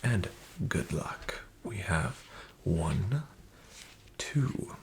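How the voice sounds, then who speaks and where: A man playing a smooth-talking, falsely friendly casino dealer, soft-spoken and half-whispered. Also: low voice, expressive, extremely slow, exaggerated voice. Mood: sly coaxing. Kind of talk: soft-spoken ASMR roleplay. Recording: audible background noise with card shuffling.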